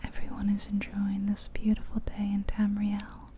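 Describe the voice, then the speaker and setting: Woman, ASMR guided narration, breathy whisper, very mellow and dark tone, even pitch, soothing, close-miked. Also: slight background noise. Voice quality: breathy, whispery soft-spoken voice. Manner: slow, gentle, soothing, with long pauses. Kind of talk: ASMR guided visualization, scripted second-person narration. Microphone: close ear-to-ear ASMR microphone.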